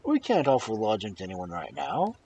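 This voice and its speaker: A male storyteller plays a plainspoken storybook householder. He projects firmly and swings his pitch with lots of emphasis, over some noise. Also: wildly swooping pitch, fairly quick, mellow, far from the mic, highs cut off. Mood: worried. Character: Halvor, plainspoken country householder facing trolls.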